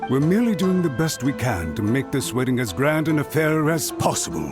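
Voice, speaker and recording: A man's voice: medium pitch, sing-song, fairly quick, natural voice. An actor playing a male clan retainer who is hospitable and eager, with faint music behind. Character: adult male clan retainer planning a wedding.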